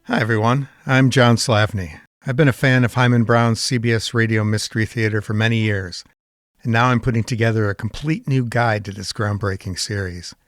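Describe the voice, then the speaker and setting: Low-pitched man presenting, dry studio sound. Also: fairly even intonation, brisk, no echo. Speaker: series presenter and editor. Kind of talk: scripted narration, informative introduction.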